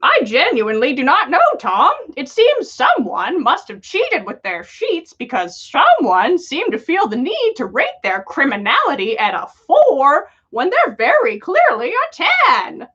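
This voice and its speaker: A woman's voice: sits high, huge pitch swings, a little slow, few pauses, fairly bright tone, lo-fi sound, voice pushed up, exaggerated voice. A woman voicing a weary old male wizard who is dry, civil and sarcastic, in a fan fiction story. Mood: exasperated, indignant.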